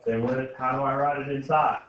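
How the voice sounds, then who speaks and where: A man's voice: mid-range voice, steady tone, a little fast. Echoey, distant male classroom teacher. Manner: informal, checks understanding often. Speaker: algebra teacher. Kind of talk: classroom lesson with questions to students. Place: indoor school classroom. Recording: very echoey noisy classroom, distant muffled mic.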